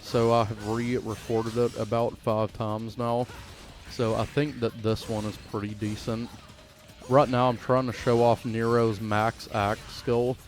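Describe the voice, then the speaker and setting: A man's voice: medium pitch, level tone, unhurried, soft background music. A man giving casual, informal gameplay commentary as the creator of a gaming video.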